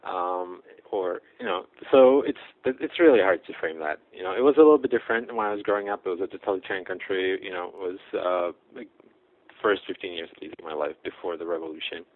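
A man's voice: sits low, fairly quick, no echo. A middle-aged man from the Czech Republic, talking as a guest in a casual interview, hesitant and full of fillers. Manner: hesitant, many fillers and restarts. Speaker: guest, blacksmith. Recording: tinny phone-like audio.

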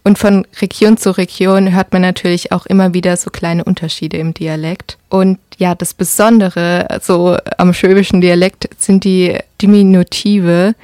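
A young woman from Karlsruhe with a slight Baden accent, co-hosting a casual podcast and pausing often on fillers. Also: on the low side, darkish tone, no room sound, clean studio sound. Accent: slight Baden German. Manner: hesitant, fills with ähm. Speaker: podcast co-host.

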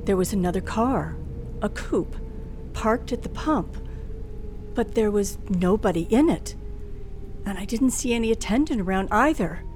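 Woman playing a frightened, slow-spoken radio thriller heroine. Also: mid-range voice, animated, a little mellow, lightly acted. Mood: tense, hushed. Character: nervous, frightened young woman driver, narrating her ordeal. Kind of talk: old-time radio thriller acting and first-person narration. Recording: vintage radio drama with music underneath.

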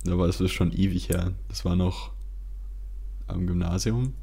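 A man's voice: low-pitched, fairly even intonation, unhurried, gravelly, warm tone, breathy edge. A young man, telling anecdotes casually as a podcast host.

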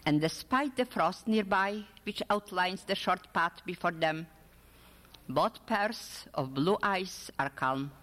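A woman reading literary prose aloud with a dramatic, measured storytelling delivery. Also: fairly low pitch, a bit bright. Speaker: author or reader at a literary event. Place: large hall at a public literary event. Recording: echoey hall with long reverb.

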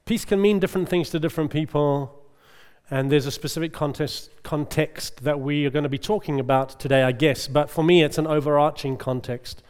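A middle-aged man preaches in a relaxed, conversational way, often asking the congregation for an "amen." His voice is smooth and resonant and sits a touch high. The sound carries the long reverb of a large church hall. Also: fairly quick. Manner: chatty, checks in with the congregation with "amen?". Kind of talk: conversational sermon.

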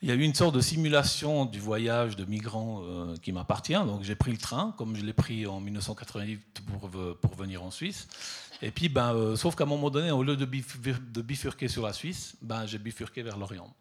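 A man's voice: fairly low pitch, lively intonation, a little fast. A middle-aged man from southern Italy, talking about his photography with frequent fillers and repeated words. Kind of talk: hesitant conversational talk at an exhibition.

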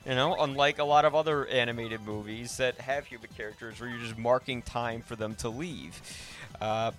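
A man hosting a podcast, talking casually about film in an animated, halting way that often repeats words. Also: mid-range voice, faint music behind. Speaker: podcast host.

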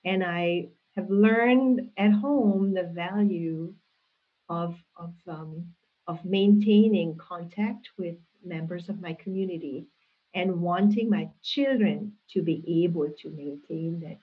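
A woman, a guest answering interview questions in a thoughtful, measured way with frequent restarts, heard over a video call. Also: on the low side, husky. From Hungary. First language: Hungarian. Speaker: guest, linguistics professor. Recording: tinny phone-like call audio.

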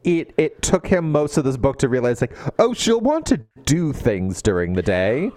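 A man talks through a book as a podcast co-host in a very animated voice that swoops around. He is sarcastic and casual, slipping into mocking versions of the characters. The sound is dry and close, with no room on it. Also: mid-range voice, normal speed, dry recording. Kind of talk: casual comedic book recap.

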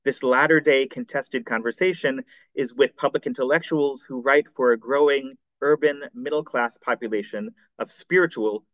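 A man reads his seminar paper in a careful, formal cadence, correcting the odd slip as he goes. His voice sits at an ordinary pitch for a man. The recording is dry and somewhat dull and muffled. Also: a bit bright, no echo. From United States. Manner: measured, formal reading with occasional slips. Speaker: scholar presenting a seminar paper. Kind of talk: reading a prepared academic paper.

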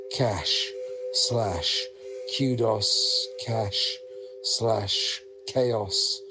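A man with a lowish voice recites a poetic monologue. His phrasing is measured and very slow, leaning on repetition. Music plays clearly behind his voice. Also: poor audio.

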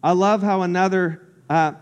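A man's voice: high voice, steady tone, moderate pace, clean tone, long reverb tail. A man from Central Florida, a pastor giving an earnest, personal sermon that builds in intensity.

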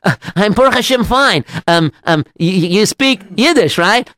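An eager, earnest wandering rabbi, acted out by a male storyteller, pitched high and swinging widely. He stammers, gets excited easily and talks in a sing-song way, like a storybook hero. The sound is close and dry. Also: moderate pace, no room sound. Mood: polite, hesitant.

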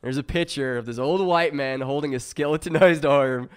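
A young man hosting a crude, casual podcast chat, leading the segments and laughing loudly between jokes. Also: mid-range voice, normal speed, clear, resonant tone. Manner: loud laughing, animated, leads the segments. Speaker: podcast host. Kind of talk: crude casual group banter.

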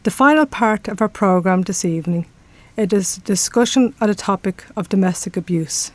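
A woman's voice: mid-range voice, fairly quick, no echo. A woman with an Irish accent reading a scripted announcement as a radio announcer. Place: radio studio.

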